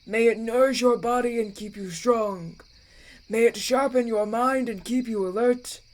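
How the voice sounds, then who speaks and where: High-voiced elven elder played by a man, fantasy audio drama. Gracious, ceremonial, measured, benevolent. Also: a little mellow. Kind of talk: fantasy audio roleplay acting.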